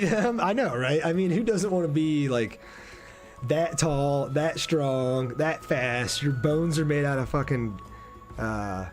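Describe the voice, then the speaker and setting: A man's voice: a little high, darkish tone. A young man giving casual, enthusiastic livestream commentary on a game and chatting informally with his viewers. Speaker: game streamer. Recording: game music behind the voice. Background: game music.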